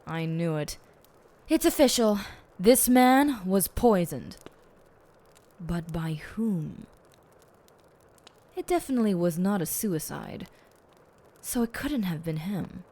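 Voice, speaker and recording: A woman plays a self-satisfied female detective in an audio roleplay. She speaks in a slightly low voice at a very leisurely pace, savoring each pompous phrase and giving bossy, teasing orders with theatrical relish. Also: expressive, takes it slowly, long pauses, dark tone, heavily acted. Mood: deliberate, musing.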